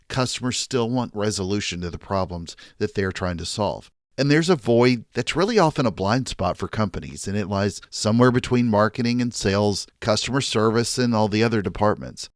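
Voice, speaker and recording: A man hosting a business podcast, reading a lively intro and then asking interview questions. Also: fairly low pitch, dry recording, studio quality. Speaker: podcast host.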